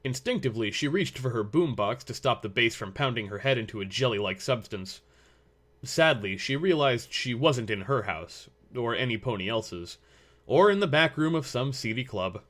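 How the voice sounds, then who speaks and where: Man, story narrator, average male pitch, scripted reading. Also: expressive, moderate pace, a bit bright.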